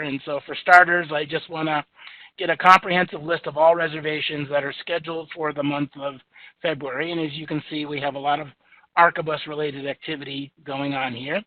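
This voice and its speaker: A man with a booming voice walking through a software demo in an easygoing, chatty way with lots of fillers. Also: no echo. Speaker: software demonstrator. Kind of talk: software screen-share demo. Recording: tinny phone-like audio, dry.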